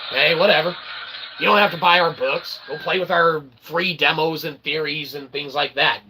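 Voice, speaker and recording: A gravelly man with a fairly high, forceful voice speaks straight to his audience as a channel host. He rambles in a tongue-in-cheek, self-promoting way, punctuating his points with little check-ins. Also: poor audio. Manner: tongue-in-cheek, self-promoting, repeatedly tags phrases with "okay". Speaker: independent philosopher channel host. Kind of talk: rambling monologue to viewers.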